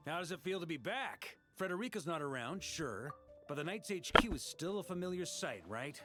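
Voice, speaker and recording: A man's voice: fairly high pitch, expressive, moderate pace, close to an everyday voice. A man voicing a jovial, teasing grandmaster of knights in a video game, breezy and casual. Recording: game music behind the voice.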